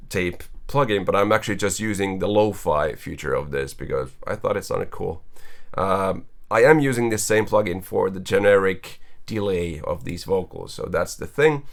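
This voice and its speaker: A young man with a naturally low voice talks through his vocal mix in a relaxed, rambling way. He fills pauses with "um" and "uh" as he explains his plugin settings. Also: gravelly. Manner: casual, rambling, with filler words and laughs. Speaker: singer and mixing engineer.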